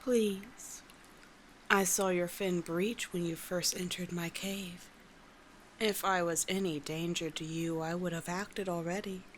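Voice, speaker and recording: Sea witch, played by a woman: lowish, slow, soothing and courtly, gently teasing, ASMR roleplay with noisy ambience behind. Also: fairly even intonation. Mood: gentle, teasing. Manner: soothing, formal endearments, unhurried. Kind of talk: scripted ASMR roleplay monologue.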